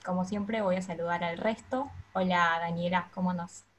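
A woman's voice: fairly low pitch, fairly even intonation, moderate pace, slight background noise. A woman with a Rioplatense accent hosting a podcast, introducing co-hosts and a guest in an easygoing way. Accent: Rioplatense Spanish. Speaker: podcast host.

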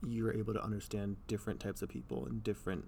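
A man's voice: on the low side, flat delivery, quick pace, vocal fry, darkish tone, slight background noise. A young man hosting a podcast, chatting loosely and jokingly, with a lot of "like" and other fillers. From Canada. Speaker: podcast host. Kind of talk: casual podcast chat.

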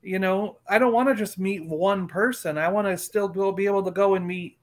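A man's voice: sits high, brisk. A middle-aged man in casual chat, talking in a rambling, emphatic way and repeating himself. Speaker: collector and panelist on a fan livestream.

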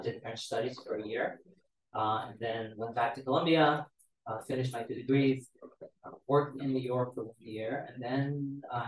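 Man, roomy distant sound. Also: medium pitch. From Israel. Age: 40s. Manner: relaxed, storytelling, many "uh" fillers. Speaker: guest. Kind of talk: casual podcast interview. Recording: roomy, distant mic with faint noise.

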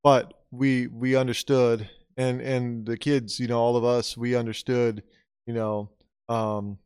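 A man from the USA co-hosts a podcast in a smooth, clear voice at a middle pitch. He talks loosely and casually, filling his sentences with "um" and "you know". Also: a little slow. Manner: relaxed, filler-heavy, lots of "you know" and "um". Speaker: podcast co-host.

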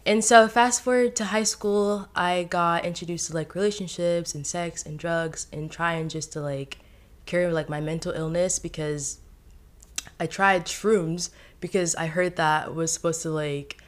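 A woman's voice: on the low side, fairly even intonation, a little slow. A woman in her twenties from Haiti, a podcast guest telling her personal story in a candid, casual way, laughing often and using lots of fillers. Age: 20s.

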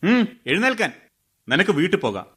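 Sharp, brusque prison policeman. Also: high-pitched, big pitch swings, brisk. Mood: brusque, dismissive. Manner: curt, gruffly dismissive. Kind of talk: radio drama acting.

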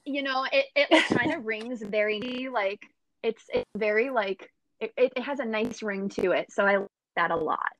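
An American woman hosting a casual podcast. Her voice is fairly high and very bright, recorded dry without room sound. She asks questions in an informal, encouraging manner. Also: normal speed, dry recording, rough recording. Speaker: podcast host. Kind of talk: casual chat.

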